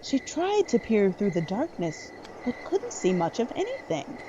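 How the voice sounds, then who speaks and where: Female drama narrator, medium pitch, animated phrasing, scripted read, slightly lo-fi with faint night noise. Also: a little slow.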